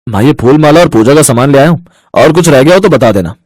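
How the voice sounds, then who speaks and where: A man's voice: mid-range voice, animated, quick pace, darkish tone, dry recording, natural voice. A man playing a plain-spoken, helpful man of the household in a family story. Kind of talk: acted family story dialogue.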